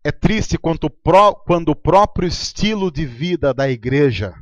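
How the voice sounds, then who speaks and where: Lively high-pitched Brazilian pastor. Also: male voice, unhurried, dry recording, lo-fi sound. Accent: Brazilian Portuguese. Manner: conversational preaching with anecdotes. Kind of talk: sermon.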